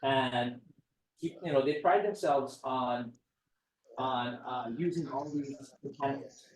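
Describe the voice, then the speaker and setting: A man gives a relaxed, chatty talk full of jokes and asides. His voice sits at an ordinary middle pitch. The recording is echoey, with the speaker standing some distance from the mic. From Hawaii. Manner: chatty, joking, digressive. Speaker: guest speaker. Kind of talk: casual lecture talk.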